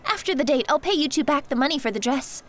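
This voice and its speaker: A woman's voice: sits high, animated, fast. A woman playing a sweet, polite and eager young woman in an audio drama, gushing. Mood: grateful. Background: light music.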